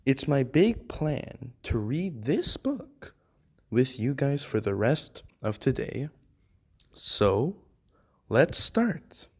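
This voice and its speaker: A reader voicing a friendly moose who gently reads storybooks aloud to young children in a deliberate way. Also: medium pitch, big pitch swings, breathy edge, exaggerated voice. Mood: friendly, gentle. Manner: slow, deliberate, addressing children directly. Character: Alex the Moose, friendly storybook-reading moose of the enchanted woods.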